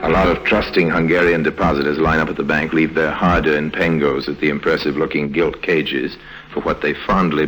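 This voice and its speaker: A very low-pitched, worldly male con artist speaks with sly, self-assured irony. He tells his story in an unhurried, wry way. It is a vintage radio drama recording with music playing clearly behind him. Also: a bit bright, low-quality recording. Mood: dryly amused. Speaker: lead actor and narrator. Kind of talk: 1950s radio drama narration and dialogue.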